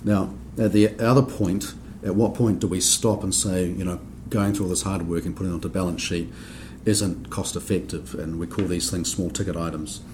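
A man with a New Zealand accent and a deep, low voice talks through technical points at a steady, explanatory pace on a podcast. He hesitates often with ums and restarts. Faint hiss sits under the voice. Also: moderate pace. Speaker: accounting standards board member. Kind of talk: podcast discussion.

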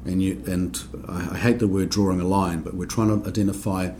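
A man from New Zealand with a New Zealand accent, explaining accounting rules on a podcast in a measured way, with frequent ums and restarts. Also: deep voice, fairly even intonation, darkish tone, a little hiss or hum. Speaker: accounting standards board member. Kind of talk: podcast discussion.

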